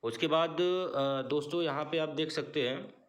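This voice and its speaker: A man with an Indian accent explains written notices informally as an online guide, with repeated check-ins and halting reading of English. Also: on the high side. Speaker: online job-form guide host.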